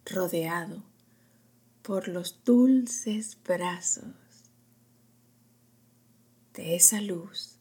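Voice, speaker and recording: A woman guiding a meditation in a soft, whispery, soothing voice, in short hushed phrases with long pauses. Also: fairly low pitch. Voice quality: breathy, whispery. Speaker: meditation guide.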